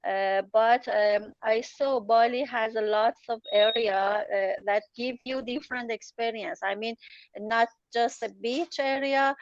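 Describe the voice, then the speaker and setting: A woman's voice: fairly high pitch, steady tone, measured pace, no echo, lo-fi sound. A woman whose first language is Farsi, a journalist and travel writer answering interview questions hesitantly, with many fillers and restarts. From Iran.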